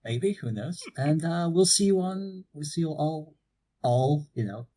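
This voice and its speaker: A young man from Portugal co-hosts a loose podcast chat, relaxed and casually profane. His voice is husky and mellow, heard through a cheap microphone. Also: on the high side, measured pace, rough recording. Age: young adult. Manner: relaxed, swears casually, laughs often. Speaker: podcast co-host. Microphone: cheap low-quality mic.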